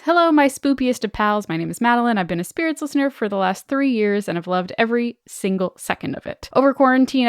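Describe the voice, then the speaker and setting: A woman's voice: mid-range voice, clear, resonant tone, no echo, studio quality. A woman co-hosting a podcast, chatting casually and reading emails aloud with playful enthusiasm. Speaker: podcast host.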